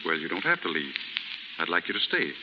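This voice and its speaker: Lively, kindly gentleman novelist. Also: sits low, brisk. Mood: comforting. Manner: polite, easygoing, gently amused. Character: kindly, well-off male novelist, amused and reassuring. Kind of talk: 1930s radio acting. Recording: muffled, very noisy, reverberant 1930s radio recording.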